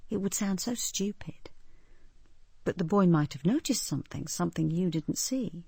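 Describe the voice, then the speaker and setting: A genteel British spinster in a radio play, acted by a woman narrator. She is timid and polite, with a mellow, breathy voice close to the microphone. Her speech is hesitant and apologetic, as if pleading softly. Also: on the low side, animated, normal speed, dry recording, exaggerated voice. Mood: hesitant, confiding. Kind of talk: radio drama acting.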